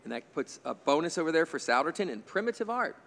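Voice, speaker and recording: A man's voice: fairly high pitch, sing-song, fast, vocal fry, fairly bright tone, long reverb tail. A man in his fifties moderating a quiz bowl from a studio desk mic, reading questions with chatty, jokey asides. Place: indoor TV studio stage. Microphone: desk microphone on a stand.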